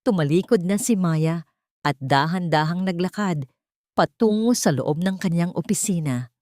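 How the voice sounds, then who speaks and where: A Filipino woman narrates an emotional audio story in a low-pitched voice. Her delivery is measured and solemn with a sentimental touch, captured in a dry, close recording. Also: warm tone, no room sound. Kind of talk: dramatic scripted Tagalog story narration.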